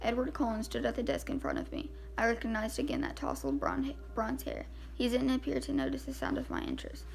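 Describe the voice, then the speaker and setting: Woman, amateur audiobook narration, strong creaky fry, lively swooping intonation. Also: a little high, brisk, hardly any pauses, a little hiss or hum. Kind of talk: scripted novel narration, first person.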